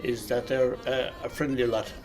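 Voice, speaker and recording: A middle-aged man giving a reflective interview, pausing and searching for his words. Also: medium pitch, flat delivery, darkish tone, faint music behind. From Ireland. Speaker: local interviewee, Irish speaker.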